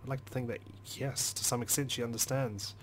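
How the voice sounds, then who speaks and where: A man's voice: medium pitch, steady tone, brisk, slight background noise. A man hosting a let's play, reading a visual novel's story aloud as dramatic, brooding narration.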